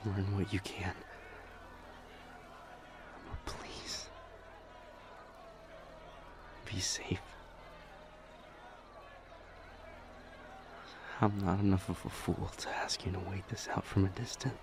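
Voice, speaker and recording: A man playing a shaken, devoted demon lover in an audio drama, halting and breathy as he speaks. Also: sits low, level tone, noisy background. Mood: tender, worried. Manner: halting, stammering, breathy urgent delivery. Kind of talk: intense dramatic audio roleplay acting.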